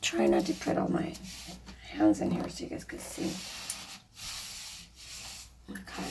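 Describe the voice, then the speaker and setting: A mother with a raspy, gravelly, slightly hoarse voice talks slowly while she works, rambling and apologizing as she explains each step. Her tone is mellow and dark. She sounds a little distant from the mic, with faint noise behind her. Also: fairly low pitch. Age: adult, a mother. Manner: chatty, apologetic, rambling with fillers. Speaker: DIY vlogger. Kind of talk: casual how-to narration while painting.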